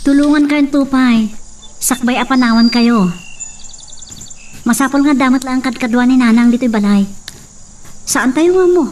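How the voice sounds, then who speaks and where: A woman plays a brave grown daughter in a radio drama. Her fairly high voice is earnest and pleading, with faint noise and crickets behind. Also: normal speed, pauses often, a little mellow, lightly acted. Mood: urgent, pleading.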